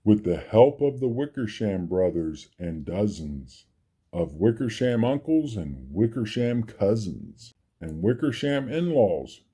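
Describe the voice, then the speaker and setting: A man's voice: fairly low pitch, dark tone, slightly rough, full character voice. A man voicing a big female kangaroo in a storybook, haughty, snappy and scornful.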